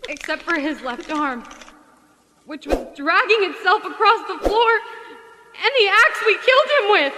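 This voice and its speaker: A woman's voice: extremely high pitch, noisy background. An actress playing an anxious, guilt-ridden wife who killed her husband, in a horror audio drama.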